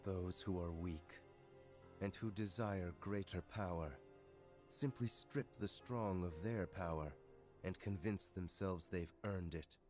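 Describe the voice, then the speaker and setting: Deep, slow, brooding dark youth. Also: male voice. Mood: disdainful. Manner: measured, solemn, disdainful. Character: brooding, cold, arrogant young man drawn to darkness. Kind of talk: video game cutscene acting. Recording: music under the voice.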